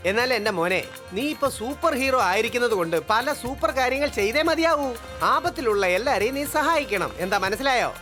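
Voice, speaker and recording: A man's voice: very high-pitched, expressive, fairly quick. A man voicing a bossy, encouraging guru who commands and scolds his disciple in a cartoon. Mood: instructive. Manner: commanding, exclamatory. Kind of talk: cartoon dubbing. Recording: music behind the voice.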